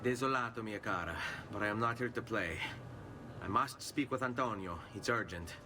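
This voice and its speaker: A man's voice: lowish voice, normal speed, natural voice. An actor playing a young nobleman assassin in a video game, speaking in an earnest, determined and commanding way. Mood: urgent. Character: young Italian nobleman assassin, resolute, urgent. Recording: noisy video game audio, from a distance.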